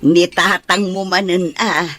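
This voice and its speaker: A woman playing a good-humoured Filipino mother with a husky voice in a radio drama. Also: on the low side, fairly even intonation, unhurried, a bit bright, soft background music. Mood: playful.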